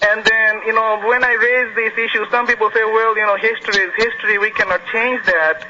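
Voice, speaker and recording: A man's voice: high voice, flat delivery, fairly quick, sharp tone. A man with an Indian accent calling in to a discussion show, speaking hesitantly and politely in a roundabout way. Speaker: phone-in caller. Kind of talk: live phone-in discussion. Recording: muffled phone line with faint noise.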